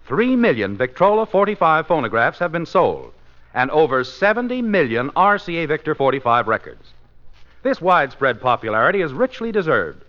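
A man announcing a 1950s radio show in a scripted, presentational style, on a dull vintage recording. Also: on the high side.

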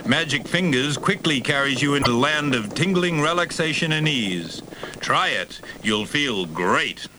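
An actor playing an advertising announcer, giving an inviting sales read on a machine recording. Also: male voice, fairly high pitch, lively intonation, a little slow, background noise. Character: smooth-talking male American advertising announcer.

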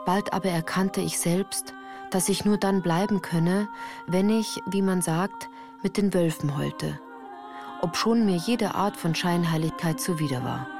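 A woman's voice: lowish voice, measured pace, over music. A woman reading scripted first-person literary narration for a radio play in a composed, articulate storytelling manner.

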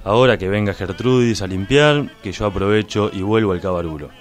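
Man playing low-voiced, dry Argentine sidekick. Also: fairly quick, close to an everyday voice. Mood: sleazy, menacing. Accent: Argentine, Rioplatense. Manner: wry, dry asides, slangy. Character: hapless, dry, grumbling sidekick of a gambler, womanizer. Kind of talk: comic radio-play acting. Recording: music under the dialogue.

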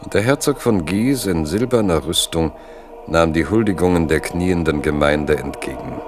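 A man's voice: low-pitched, moderate pace, noisy background. A man narrating a scripted historical radio drama.

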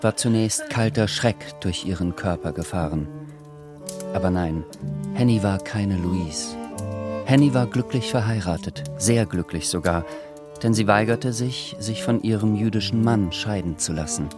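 A man narrating a literary radio drama as a composed, measured storyteller. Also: lowish voice, over music, natural voice.

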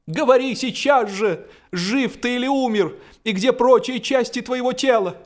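Agitated young man, very high-pitched, shouting, demanding, comic storybook style. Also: moderate pace. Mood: demanding, alarmed. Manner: shouting, bossy, indignant.

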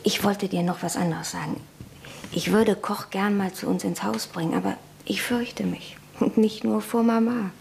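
An actress playing an earnest young nurse in wartime who confides secrets and slips into urgent whispers, in a German radio drama. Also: female voice, mid-range voice, fairly even intonation, normal speed, gravelly, a little mellow, a little hiss or hum. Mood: confiding. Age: young adult.

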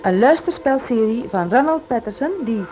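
A woman's voice: fairly high pitch, lively intonation, moderate pace, dark tone, low-quality recording. A woman reading a scripted radio programme announcement.

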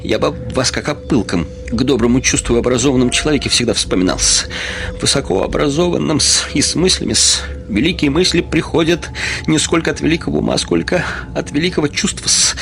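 An actor playing an obsequious, wounded, feverishly devoted middle-aged gentleman in a radio play, speaking with servile deference in broken phrases that swing from hushed to impassioned. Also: fairly high pitch, expressive, fairly quick, creaky. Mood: fervent. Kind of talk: Russian radio play acting.

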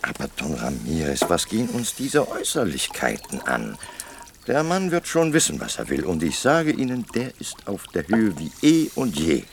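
A deep-voiced businessman in a radio drama. He is deferential yet wheedling, and his pitch swings in an animated way. Faint watery noise runs behind him. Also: moderate pace, gravelly, heavily acted. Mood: persuasive. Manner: polite, insinuating, persuasive. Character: deferential, wheedling Mexican businessman pressing a politician. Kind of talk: radio play dialogue acting.

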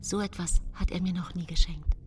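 A woman's voice: on the low side, fairly even intonation, moderate pace, close to an everyday voice. Actress as distant, hopeful mother-to-be Gesche. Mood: hopeful, elated. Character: hopeful, self-dramatising expectant woman in her thirties, Gesche. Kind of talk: radio drama inner monologue.

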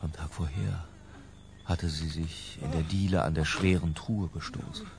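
A low, gravelly, obsessive male narrator. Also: steady tone, background noise, exaggerated voice. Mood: brooding, intense. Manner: ornate, deliberate, confessional. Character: middle-aged scholarly man, obsessive, self-dramatizing narrator. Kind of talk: literary first-person radio drama narration.

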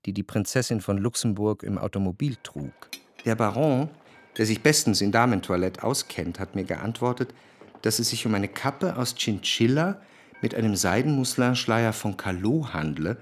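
An actor playing a reflective, cultured man who recalls his past in a literary radio drama, with measured, precise diction. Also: fairly low pitch, natural voice. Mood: reminiscing. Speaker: narrator.

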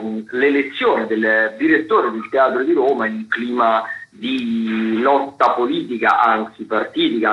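A man of average pitch gives unscripted commentary as a radio contributor. He is wordy and halting, correcting himself as he goes, over a slightly dull remote link. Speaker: theatre critic, radio contributor.

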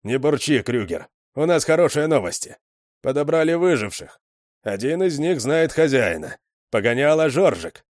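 A man voicing a tough, commanding middle-aged male gang leader who gives gruff orders. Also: fairly high pitch, big pitch swings, moderate pace, a little mellow, no echo, clean studio sound, pitched above the performer's own voice.

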